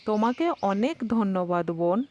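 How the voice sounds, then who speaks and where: A woman voicing a sly, hungry, flattering fox in a children's cartoon story. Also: medium pitch, slight background noise, pitched below the performer's own voice, close to an everyday voice. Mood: smug. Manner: unhurried, coaxing flattery. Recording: dull lo-fi sound with music behind. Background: music.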